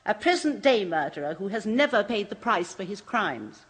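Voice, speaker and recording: A composed waxworks manager who talks of murderers with precise, brisk relish. Her voice is slightly husky and lively in pitch. The sound is a muffled old radio recording. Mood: cool.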